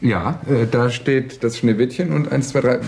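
A flippant male astronaut played by an actor in a radio play. His voice is creaky and mid-low, full of wisecracks, with faint ambient noise around it. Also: normal speed, darkish tone, natural voice. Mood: teasing. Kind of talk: German radio drama acting.

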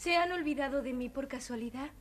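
A woman's voice: sits high, brisk, natural voice. A woman playing a young princess in a dramatized children's story record, with dramatic delivery. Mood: coy. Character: beautiful young princess, freed from enchantment, urgent.

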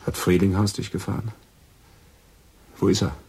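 Radio drama: male killer and lover, very low, extremely husky, brooding, fatalistic. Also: flat delivery, normal speed, a little mellow, exaggerated voice. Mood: subdued, tender. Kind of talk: naturalistic German radio drama acting.

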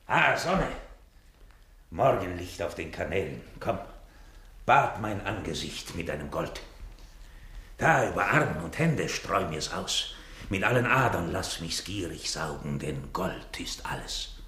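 A man's voice: low-pitched, big pitch swings, unhurried, bright, forceful tone, exaggerated voice. An actor playing a gloating, miserly Venetian schemer in a German radio drama, speaking theatrically and savouring his words. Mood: rapturous. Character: gloating, miserly rich Venetian schemer who feigns dying.

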